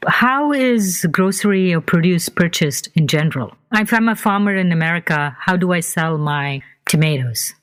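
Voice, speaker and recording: A woman's voice: on the low side, expressive, moderate pace, few pauses. A woman hosting a podcast, asking questions in an inquisitive, affirming way. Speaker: podcast host. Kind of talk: interviewing guest.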